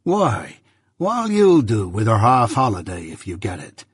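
A man's voice: lowish voice, huge pitch swings, unhurried, breathy edge, no echo, clean studio sound, exaggerated voice. Man playing a patient, plain-spoken master blacksmith. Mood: placating.

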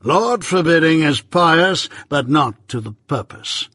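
Guy doing a stern bossy lawyer, slow measured words, a bit husky, higher than his usual, dead dry sound. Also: animated, a bit bright, dry recording, exaggerated voice. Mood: commanding. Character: stern, imperious middle-aged male London lawyer.

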